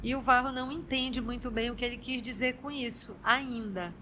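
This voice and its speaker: A Brazilian woman informally retelling a story to a study group, halting as she searches for words. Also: fairly high pitch, steady tone, bright, forceful tone, a little hiss or hum. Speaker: study group member giving a recap.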